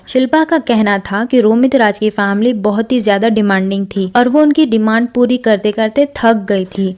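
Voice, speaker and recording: High-voiced woman narrating celebrity news. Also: a little mellow. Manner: mixes English words into storytelling. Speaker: entertainment news presenter. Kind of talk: narrated celebrity controversy story, conversational explainer.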